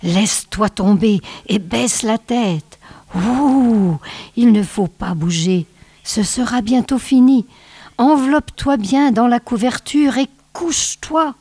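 Snow spirit boy, urgent, high-pitched, raised voice, storybook figure, voiced by a woman. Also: expressive, very slow, hardly any pauses, no echo, full character voice.

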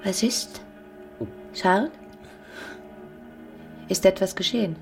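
An actress playing a bored, discontented young wife with secret contempt, brooding and intimate in a radio drama. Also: female voice, medium pitch, takes it slowly, vocal fry. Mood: guarded, distracted. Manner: brooding, intimate, sometimes murmured. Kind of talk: German radio drama acting. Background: music.

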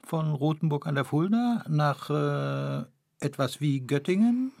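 An actor playing a hesitant, pedantic intellectual in a radio play, musing aloud and searching for words in a husky voice. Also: male voice, a little high, expressive, darkish tone, no room sound, studio quality. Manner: halting, deliberate, searching for words. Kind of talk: experimental radio play dialogue.

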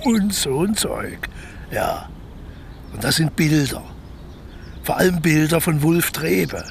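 A creaky male voice with faint background noise, a scheming ex-convict in a radio play. He is sly and embittered, savouring his words and often sinking toward a conspiratorial murmur. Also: fairly high pitch, big pitch swings, slow pace, exaggerated voice. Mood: secretive, savouring. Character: scheming, embittered ex-convict man plotting a lucrative burglary. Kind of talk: naturalistic German radio play dialogue.

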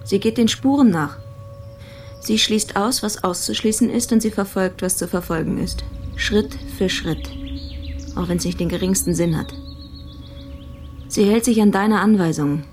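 An actress playing a sober, sceptical police investigator in a radio play, speaking in a matter-of-fact, challenging way. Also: female voice, mid-range voice, moderate pace, long pauses, a little mellow, faint music behind, natural voice.

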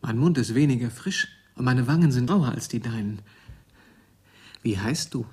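A very husky, rough-edged man of middling pitch played by a male actor, troubled and bewildered. He speaks in ponderous, searching, formal phrases, in the manner of a literary German radio play. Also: moderate pace, mellow, close to an everyday voice. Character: troubled, bewildered grown man meeting his younger double. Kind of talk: German radio drama acting.

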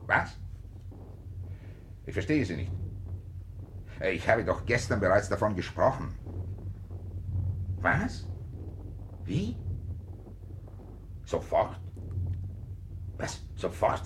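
An actor playing a vindictive, blustering local Nazi farmers' leader in a 1950s German radio play, accusing and barking orders. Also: male voice, medium pitch, slight background noise, poor audio.

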